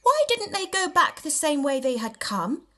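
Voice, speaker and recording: A woman's voice: high-pitched, very animated, moderate pace, a bit bright, pitched above the performer's own voice. A woman voicing a small, trusting little girl who asks insistent, childlike questions in an audiobook. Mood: anxious, curious. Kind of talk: audiobook character voice.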